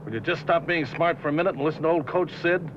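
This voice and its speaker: An actor playing a scheming, bitter showbiz manager in a 1950s film drama, talking in blunt, bossy slang. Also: male voice, fairly high pitch, fast, natural voice. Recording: muffled, noisy 1950s film soundtrack.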